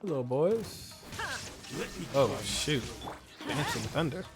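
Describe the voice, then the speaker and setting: A man's voice: a little high, very animated, takes it slowly. A man doing casual, laid-back gameplay commentary in short muttered reactions, with game music behind him. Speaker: gaming streamer.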